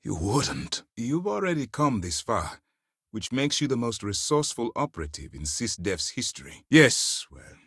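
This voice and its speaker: An actor playing a stern, principled military space-fleet commander in a video game, speaking formally and with measured authority. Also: male voice, mid-range voice, very animated, a bit bright, breathy edge, no room sound, clean studio sound, exaggerated voice. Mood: measured, resolute. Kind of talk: dramatic game character dialogue.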